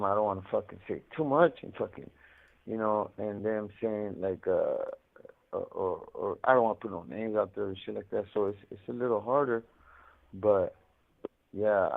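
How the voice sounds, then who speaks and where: A man's voice: fairly low pitch, creaky. A man telling stories casually over a muffled phone line, rambling in slangy, profanity-heavy talk. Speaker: incarcerated caller telling his life story. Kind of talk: casual storytelling over a prison phone call. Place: prison, on a phone.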